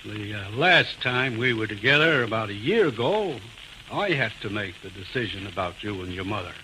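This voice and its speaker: Low-voiced, measured radio judge played by a man. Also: animated, close to an everyday voice. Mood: patient, solemn. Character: measured, kindly authoritative male judge questioning a child. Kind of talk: old-time radio drama acting. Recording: old radio recording, clear background noise.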